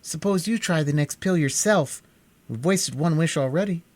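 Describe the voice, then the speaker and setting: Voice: mid-range voice, darkish tone, no room sound, pitched above the performer's own voice, lightly acted. A reader voicing a sulky, impatient young boy in a storybook. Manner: impatient, quick to complain.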